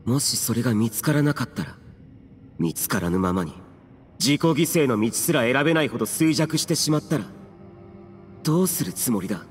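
Stern young male warrior, played by an actor, grave, mid-pitched, austere old-fashioned wording, anime game, music behind. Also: animated, brisk, long reverb tail, lightly acted. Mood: challenging. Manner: solemn, austere, slightly archaic speech. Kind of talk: anime-style game voice acting.

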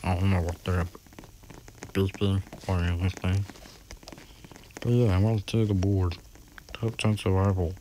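Low-voiced man mumbling through gameplay. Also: flat delivery, a little slow, long pauses, warm tone, low-quality recording. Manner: mumbling, rambling commentary with sudden exclamations. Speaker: gaming channel host. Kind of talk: casual gameplay commentary. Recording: heavy background noise.

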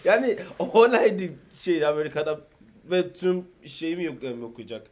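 Young man, reaction co-host, high and lively voice, excitable, couch in a room. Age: young adult. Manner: excitable, quick to laugh. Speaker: vlog co-host. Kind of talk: reaction video chat. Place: indoor room, seated on a couch.

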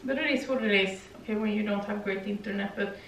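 A woman's voice: medium pitch, quick pace, fairly bright tone, far from the mic, slight background noise. A young woman, chatting casually and rambling while she does yoga in her living room. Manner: rambling, chatty, frequent "okay?" tags. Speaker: vlogger. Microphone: far camera. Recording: echoey room, voice from afar.

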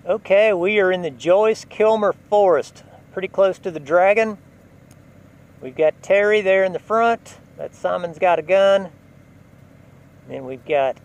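Man, slow relaxed delivery, on a motorcycle, helmet mic, wind and engine noise. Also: a little high, poor audio. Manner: relaxed, unhurried, talks while riding. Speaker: motovlogger. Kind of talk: helmet-cam ride narration. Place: on a motorcycle outdoors. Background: road and wind noise.

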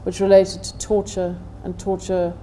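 Brief: middle-aged woman, academic panelist, mellow relaxed voice, deliberate delivery, slight room noise. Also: mid-range voice, rough recording. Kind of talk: prepared seminar talk. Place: indoor seminar room, seated at table.

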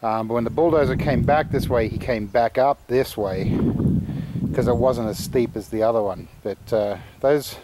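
A middle-aged Australian man giving a rambling, relaxed walking tour outdoors in the woods, full of fillers and restarts. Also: medium pitch, moderate pace, very noisy. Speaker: channel host. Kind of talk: casual walking tour vlog. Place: outdoors in rural woodland.

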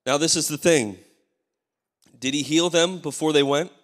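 A man in his thirties, a pastor whose voice is worn and hoarse, preaching a sermon into a handheld mic and calling for amens. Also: a little high, normal speed, a bit bright. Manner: preaching with call-and-response, asking the crowd for amens. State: losing his voice. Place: large church stage. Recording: live church hall reverb.

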